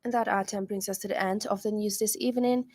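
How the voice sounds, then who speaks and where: A woman's voice: mid-range voice, steady tone, fast talker, a little mellow, dry recording, clean studio sound. A woman with a Zambian accent reading a scripted radio news bulletin as presenter.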